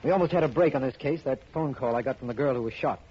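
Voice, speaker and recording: An actor playing an earnest, businesslike district attorney in a 1950s radio drama, formal and clipped. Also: male voice, mid-range voice, quick pace, breathy edge, close to an everyday voice. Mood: earnest, curious. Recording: muffled, noisy old radio recording.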